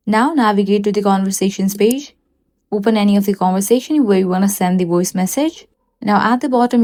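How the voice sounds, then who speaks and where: A woman giving a scripted, step-by-step app tutorial as a voice-over, in an even, instructional delivery. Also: medium pitch. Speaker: tutorial narrator. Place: voice-over for a screen recording.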